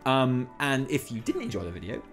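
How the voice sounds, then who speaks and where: A man's voice: medium pitch, wildly swooping pitch, brisk, soft background music. A man co-hosting a gaming news show in casual banter, self-deprecating and prone to swearing. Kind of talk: casual banter and chat to viewers.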